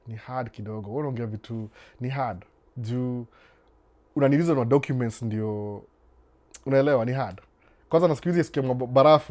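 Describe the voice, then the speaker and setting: Mellow-voiced man, relaxed live-stream chat. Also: mid-range voice. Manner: relaxed, unhurried, switches between Swahili and English mid-sentence; reads viewer comments aloud and responds to them. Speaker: live-stream host answering viewer questions.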